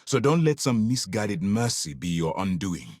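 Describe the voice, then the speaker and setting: Stern naval commander, sweeping intonation. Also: male voice, on the low side, very animated, husky, no echo, studio quality. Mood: sober, authoritative. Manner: measured, formal, commanding delivery. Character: stern, disciplined male naval commander hunting space pirates. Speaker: video game voice actor. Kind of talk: dramatic video game dialogue.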